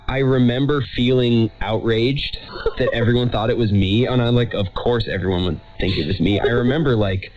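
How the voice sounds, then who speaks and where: A man's voice: mid-range voice, a little mellow. An American man in his thirties telling a personal story in a reflective, wry way. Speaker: storyteller and host. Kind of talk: personal documentary narration and family chat. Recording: dull lo-fi radio sound, music behind.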